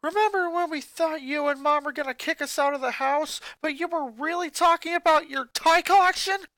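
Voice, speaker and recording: A woman voicing an angry, resentful young boy who rants and shouts at his family. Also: high-pitched, moderate pace, no room sound, voice pushed up. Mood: furious. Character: furious boy, eleven-year-old, bitter and resentful.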